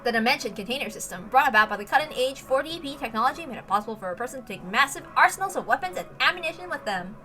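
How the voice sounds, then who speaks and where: A woman's voice: sits high, lively intonation, very fast, hardly any pauses, bright, forceful tone, soft background music. A woman reading a story aloud as dramatic scripted narration, with emphatic, theatrical delivery. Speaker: reader of a visual novel.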